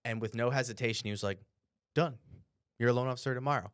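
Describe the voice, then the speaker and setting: An American man from Cleveland telling stories casually on a podcast, animated and profane, with bro-like slang. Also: mid-range voice, quick pace, clean tone, no echo, studio quality. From Cleveland, Ohio, United States. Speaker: podcast co-host. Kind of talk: casual storytelling.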